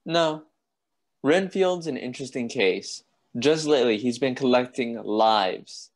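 A man playing a composed, grave asylum doctor in a radio play, speaking with measured, clipped authority. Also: mid-range voice, animated. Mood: grave, reflective.

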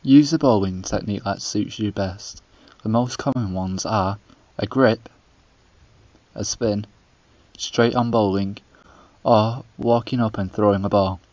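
A man's voice: lowish voice, measured pace, a little mellow, no room sound, lo-fi sound. Young man, stiff dry voice-over. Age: young adult. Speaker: student documentary narrator. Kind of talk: scripted voice-over narration.

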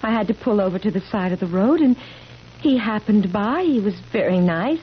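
An actress playing a mysterious, eternally young wife in a 1970s radio drama, dramatic and insistent. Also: female voice, medium pitch, expressive, normal speed, lightly acted. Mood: evasive. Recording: muffled, faintly noisy vintage radio recording.

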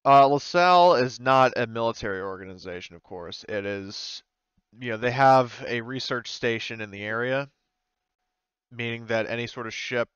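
A man's voice: mid-range voice, normal speed, a bit bright, clear, resonant tone, dry recording. A man casually explaining a tabletop game as game master, in a conversational, hedging way over a muffled online voice call.

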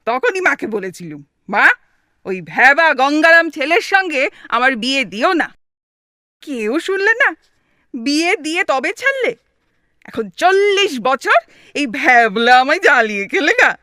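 A woman playing an exasperated, sharp-tongued elderly wife with a West Bengal accent in a comic audio drama, scolding and sarcastic. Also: high voice, very animated, unhurried, no echo, studio quality, exaggerated voice. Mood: scolding, fed up. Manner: scolding, sarcastic, theatrically grumbling.

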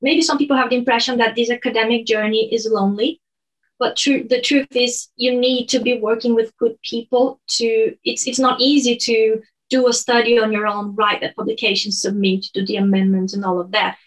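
Brazilian woman in her thirties, measured. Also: on the high side, steady tone, rough recording. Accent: Brazilian Portuguese. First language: Portuguese. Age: 30s. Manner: measured, earnest, some restarts. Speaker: guest, online lecturer. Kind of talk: interview over video call. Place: bedroom at home. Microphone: video call.

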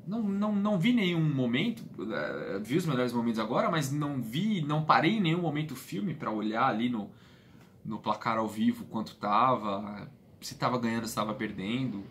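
A man's voice: medium pitch, big pitch swings, moderate pace, fairly bright tone. A man in his late twenties with a Brazilian Portuguese accent, talking casually and earnestly as a fan vlogger, repeating phrases for emphasis. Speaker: football fan channel host. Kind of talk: casual vlog monologue. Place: small bedroom, indoors. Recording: clear background room noise.

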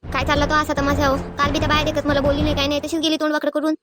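A woman voicing a sharp-tongued, aggrieved elderly village mother-in-law in a cartoon comedy, scolding and complaining with a rural Maharashtrian accent. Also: high voice, monotone, music in the background, close to an everyday voice. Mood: indignant scolding. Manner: scolding, complaining, rapid-fire. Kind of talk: animated family comedy acting.

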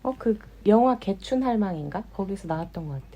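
Woman, raspy, medium pitch, casual co-host, brief teasing remarks. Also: lively intonation, brisk, mellow. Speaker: podcast co-host. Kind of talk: casual chat.

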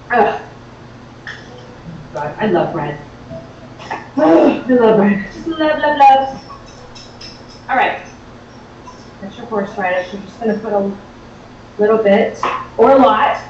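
A woman with a high voice talks viewers through cooking in an informal, excitable, chatty way. She sounds set back from the microphone in a kitchen. The sound is slightly dull, with strong room echo. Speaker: host of a live cooking show. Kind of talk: casual live cooking demonstration. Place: home-style kitchen, indoors. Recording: very echoey, distant, dull, faint noise.

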